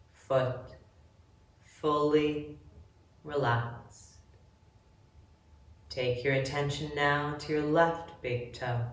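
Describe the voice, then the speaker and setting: A woman leading a guided relaxation meditation, giving gentle, measured instructions with long pauses between phrases. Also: low voice. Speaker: yoga nidra guide. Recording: very echoey room, distant mic.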